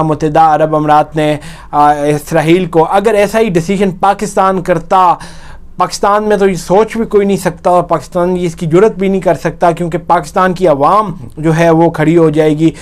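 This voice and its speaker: A man with a Pakistani accent delivers a news report with commentary. His voice is fairly high for a man and notably clean and smooth. He explains as he goes, often rewording and repeating a point. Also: steady tone, moderate pace.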